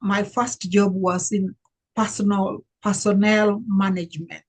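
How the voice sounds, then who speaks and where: An older woman from Uganda, a life coach, telling her life story in an interview in an unhurried, reflective way with many fillers. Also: medium pitch, little pitch movement.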